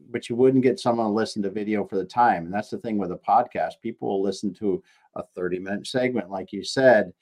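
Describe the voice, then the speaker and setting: A man hosting a casual interview, speaking haltingly and repeating words as he thinks. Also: on the low side, darkish tone. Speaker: podcast host.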